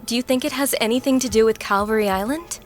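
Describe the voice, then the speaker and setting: An actress playing a bitter, defiant, petulant young adult daughter in an audio drama, sarcastic and blunt. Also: female voice, on the high side, quick pace, soft background music, natural voice. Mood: eager. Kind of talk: dramatic audio fiction acting.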